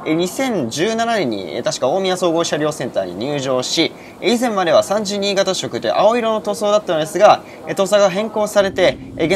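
A man in his early twenties narrating a railway vlog with detailed, informative explanations. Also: fairly high pitch. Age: early 20s. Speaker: railway vlogger. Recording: loud train and station noise.

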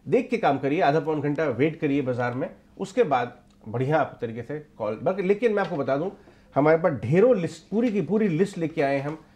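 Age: middle-aged. Sex: man. Pitch: somewhat high. Role: market news anchor. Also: lively intonation, fairly quick, darkish tone. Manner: explanatory, repeats key points for emphasis. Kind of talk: live market commentary. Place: TV news studio. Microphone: lapel mic.

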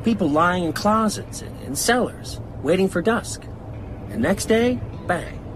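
A man's voice: high voice, lively intonation, a little slow, noisy background. An actor playing an earnest, uneasy American man in a radio drama. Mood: urgent.

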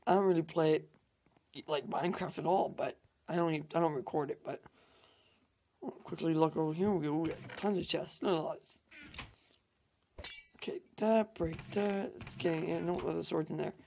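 A young man hosting a gaming channel, hoarse from losing his voice, rambling through casual gameplay commentary with fillers and swearing. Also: a little high, fairly even intonation, moderate pace, long pauses, warm tone, slight background noise.

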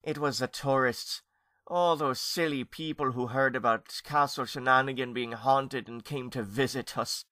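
A man's voice: mid-range voice, steady tone, moderate pace, pushed and bright, dry recording, studio quality, lightly acted. A man voicing a fretful, bossy lady ghost aunt from Ireland in a children's storybook, with dramatic wailing and scolding. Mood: sadly resentful.

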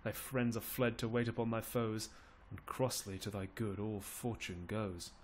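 A man's voice: on the low side, level tone, normal speed, slight background noise, close to an everyday voice. A man playing a loyal English lord, declaiming verse in a pleading, mournful manner. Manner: declamatory, lamenting verse delivery.

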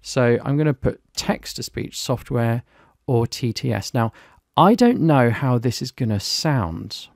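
A British man from the UK reviewing and demonstrating a piece of software in a casual, explanatory way. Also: medium pitch, expressive, a little slow, creaky, dry recording, studio quality. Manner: plain, explanatory, lightly chatty. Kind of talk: screen-recorded software tutorial.

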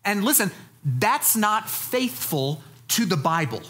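A man's voice: high-pitched, very animated, takes it slowly, fairly bright tone. Male preacher, American, 40s. Teaches plainly and conversationally, leaning on key phrases with folksy emphasis. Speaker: pastor. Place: church stage, indoors.

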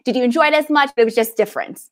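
A woman's voice: a little high, rapid-fire. A woman in her thirties from New York City, chatty and effusive, hosting a casual interview over a video call and reading out audience questions. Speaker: library event host, interviewer.

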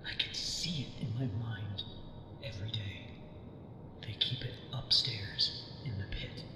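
A man voicing a weary prisoner held for a long time, calm and cryptic, in a video game cutscene, speaking in a hushed, half-whispered, measured way. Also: on the low side, natural voice. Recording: cavernous echo with long reverb and ambient noise.

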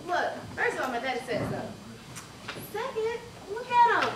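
Woman acting sassy high-voiced young daughter, echoey stage. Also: big pitch swings, moderate pace. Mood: teasing. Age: young adult. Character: sassy, self-assured young daughter out for a night. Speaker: stage actor. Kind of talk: live stage play acting, casual urban dialogue. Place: indoor theater stage. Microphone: far camera. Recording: echoey theater, distant mic, audience noise.

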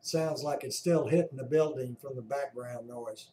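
Halting mellow man in an echoey room. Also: mid-range voice. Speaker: amateur radio operator, narrator. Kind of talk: casual explanatory commentary. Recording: roomy echo, clear background noise.

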